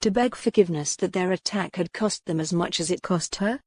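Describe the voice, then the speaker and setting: Female audiobook narrator for historical romance: lowish pitch, scripted dramatic prose, very dry studio-like sound. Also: a little fast, mellow, breathy edge, no room sound.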